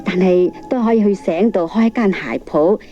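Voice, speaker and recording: A woman's voice: mid-range voice, moderate pace, mellow, natural voice. A woman with a Hong Kong Cantonese accent playing a shoemaker's kindly, practical older wife in a children's radio drama. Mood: practical, content. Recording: muffled old cassette, faint music.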